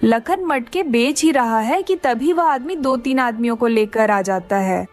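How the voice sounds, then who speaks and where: A woman's voice: fairly high pitch, unhurried, darkish tone, soft background music. A woman reading scripted narration for a children's cartoon story.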